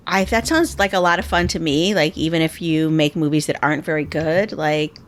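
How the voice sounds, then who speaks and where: A woman's voice: fairly low pitch, fairly bright tone. A woman hosting a podcast, talking in an informal, chatty, somewhat rambling way. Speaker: podcast host. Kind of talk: casual interview chat.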